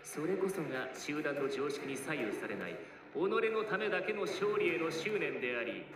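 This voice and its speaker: Cold, ruthless coach, echoing over music. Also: male voice, fairly high pitch, big pitch swings, distant mic. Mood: stern lecturing. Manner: contemptuous, deliberate, lecturing. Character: cold, ruthless male soccer program coach. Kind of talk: dubbed anime drama acting. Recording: roomy anime playback with loud music.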